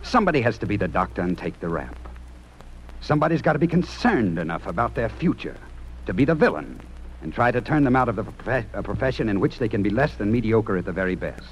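A man's voice: fairly low pitch, highs cut off, lightly acted. An American man acting in an old-time radio drama, playing a stern, impassioned talent judge with earnest, theatrical speechifying. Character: stern, impassioned male music talent judge, remorseful underneath. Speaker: actor. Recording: noisy old radio recording.

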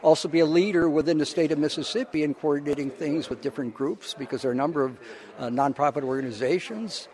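A male college professor giving informal interview answers, explaining things in a halting, rambling way. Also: a little high, a little mellow.

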